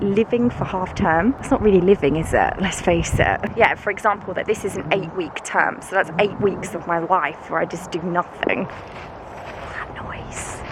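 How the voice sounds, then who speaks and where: British woman, congested nose, self-mocking vlog chat, outdoor woods, clear background noise, passing traffic. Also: mid-range voice. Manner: chatty, self-mocking, laughs often. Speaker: vlogger and schoolteacher. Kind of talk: casual vlog chat while walking. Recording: noisy outdoor camera recording. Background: outdoor ambience, passing vehicles.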